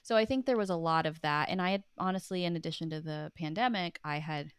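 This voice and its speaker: A woman's voice: low-pitched, fast talker, clean tone, dry recording, studio quality. A woman speaking earnestly and informally as an interview guest, reflective and casual, often saying "like". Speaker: guest, writer and author.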